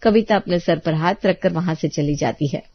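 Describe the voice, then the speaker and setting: A woman's voice: lowish voice, normal speed, no room sound, dull audio. A woman reading scripted narration for a story.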